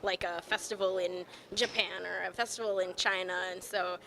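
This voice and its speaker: A woman's voice: on the low side, steady tone, normal speed, a bit bright, soft background music, rough recording. A woman, an event organizer, speaking in an interview and listing countries with energy. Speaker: festival organizer. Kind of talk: interview soundbite.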